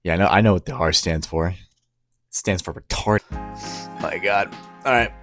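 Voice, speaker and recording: Low-pitched man, casual, with music behind. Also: normal speed, fairly bright tone. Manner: irreverent, sweary, self-deprecating. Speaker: host. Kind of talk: casual self-mocking commentary.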